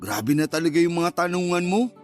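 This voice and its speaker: A man's voice: on the high side, expressive, a little fast, faint music behind, close to an everyday voice. An actor playing a hesitant but candid young man in a radio drama, casual and mildly defensive as he talks. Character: hesitant, candid younger man who courts older women. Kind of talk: conversational radio drama acting.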